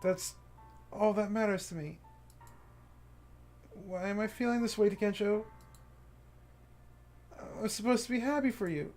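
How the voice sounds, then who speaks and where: Man putting on a high girly voice, cheerful fragile teen, pleading, faint game music. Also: measured pace, pauses often, darkish tone. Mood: tearful. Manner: high girlish voice, breaking into tearful pleading. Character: cheerful teenage girl, clingy and fragile. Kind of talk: voicing visual novel dialogue.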